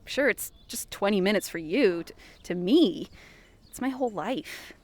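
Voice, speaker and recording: Straight-laced small-town reporter played by a woman, average pitch, earnest, dryly probing, for an audio drama. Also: animated, measured pace, a little mellow. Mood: earnest, wry. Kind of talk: scripted audio fiction acting.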